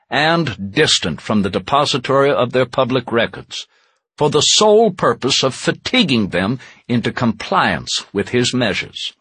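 Husky man declaiming with lively swings. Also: mid-range voice, no echo. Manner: formal, measured, declamatory. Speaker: historical interpreter reading aloud. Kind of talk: dramatic oratorical reading of an 18th-century document.

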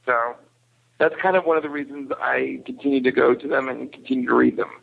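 Over a thin phone-like connection, a man with a gravelly, creaky voice speaks at a middle pitch. He is a podcast guest chatting casually, often rephrasing himself as he goes. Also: fairly even intonation, fairly quick. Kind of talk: casual discussion. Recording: narrow, tinny phone-like line.

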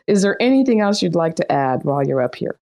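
A middle-aged woman hosts a casual online chat in a lively, talkative way and laughs easily. Her voice sits somewhat low. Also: fast talker. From Iran. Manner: lively and chatty, laughs often. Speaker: host. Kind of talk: casual chat hosting an online audio room.

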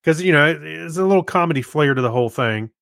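A man's voice: fairly high pitch, expressive, fast talker, gravelly, a bit bright, no room sound, clean studio sound. A man co-hosting a podcast in casual, understated conversation. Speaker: podcast co-host.

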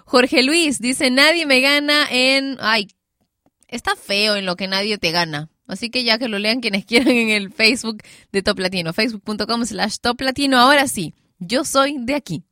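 Giggly lady radio host reading messages, casual, highish bright voice, real dry studio sound. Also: moderate pace, no room sound. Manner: giggly, chatty. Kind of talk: casual live chat reading listener messages.

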